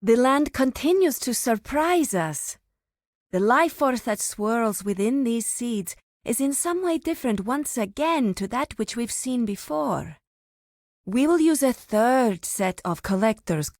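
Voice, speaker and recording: A woman playing a reverent, solemn druid of an ancient tribe in a fantasy video game, speaking formally with deliberate pauses. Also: a little high, lively intonation, a little mellow, no echo, clean studio sound. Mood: reverent wonder.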